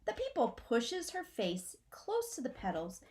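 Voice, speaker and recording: Lively middle-aged woman reading a children's story. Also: a little high, unhurried. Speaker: teacher reading aloud. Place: small furnished room at home, indoors.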